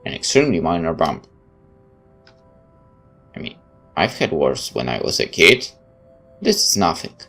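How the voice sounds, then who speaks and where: A man's voice: deep voice, big pitch swings, a little slow, long pauses, a bit bright, soft background music. A man playing through a game, reading its text aloud and adding sarcastic asides. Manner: sarcastic, interjecting quips. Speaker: let's player.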